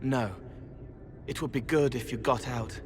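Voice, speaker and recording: An actor playing a gentle, odd young male spirit in a video game, soft-spoken, talking in disjointed, cryptic phrases. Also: medium pitch, fairly even intonation, measured pace, music in the background, lightly acted. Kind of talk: video game character acting.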